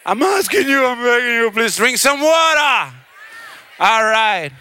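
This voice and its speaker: A man's voice: very high voice, very animated, measured pace, pushed and bright, clear, resonant tone. A man from Chile working as a reggae festival MC, exclaiming and repeating phrases in reggae slang to hype the crowd over a stage microphone.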